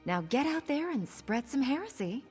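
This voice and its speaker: A woman's voice: sits high, big pitch swings, normal speed, a little mellow, long reverb tail, faint music behind. An actress playing a scheming, cynical pirate woman in a video game, with a sardonic, conspiratorial manner. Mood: wry, teasing. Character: scheming roguish female pirate, sly and cynical. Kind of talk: video game character dialogue.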